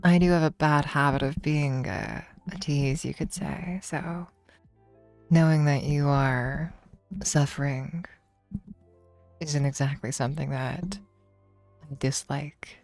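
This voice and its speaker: A woman streamer with a slightly hoarse voice, talking slowly and teasingly in a relaxed livestream chat. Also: sits low, soft background music. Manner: slow, teasing, drawn-out praise.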